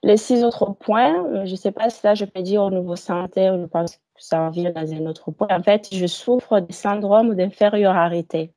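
A woman's voice: medium pitch, moderate pace, few pauses, dark tone, no echo. A woman in her thirties, a hypnosis client on a video call, telling her personal story hesitantly with many fillers.

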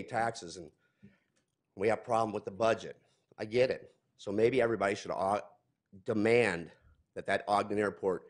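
A man in his forties giving a heated, accusing public comment at a podium mic in a large council chamber. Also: lowish voice.

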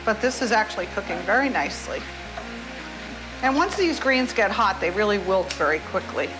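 A woman's voice: medium pitch, animated, moderate pace, poor audio. A middle-aged woman hosting a cooking show, explaining each step in a home kitchen. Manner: explanatory, friendly instruction. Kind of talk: cooking demonstration. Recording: music bed underneath.

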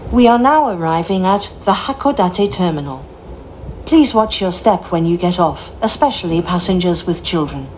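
A woman's voice: on the low side, animated, takes it slowly, darkish tone. A woman giving scripted onboard announcements as a train announcer, formal, polite and carefully phrased. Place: inside a train, over the announcement system. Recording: noisy train cabin recording.

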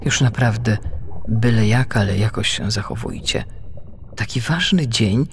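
A man's voice: on the low side, measured pace, background noise. A man voicing a strict, scolding mother humpback whale in a children's storybook, in a firm manner. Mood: stern.

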